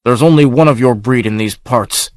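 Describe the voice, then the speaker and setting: A battered barbarian warrior, voiced by a male narrator, rasping and panting yet defiant. The sound is dry and close with no echo, fitting a storybook reading. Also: mid-range voice, moderate pace, a little mellow, natural voice.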